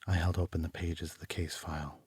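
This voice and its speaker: An actor playing a troubled homicide detective, narrating his case in a deliberate, brooding, literary style for an audio drama. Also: low voice, flat delivery, darkish tone, husky, no echo. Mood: brooding, uneasy. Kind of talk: scripted first-person noir audio drama narration.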